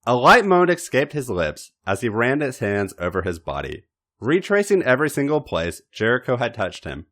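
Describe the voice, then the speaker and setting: A man reading a story aloud in a dramatic, expressive narrating style, with theatrical emphasis and deliberate pauses. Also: mid-range voice. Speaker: podcast host reading fan fiction.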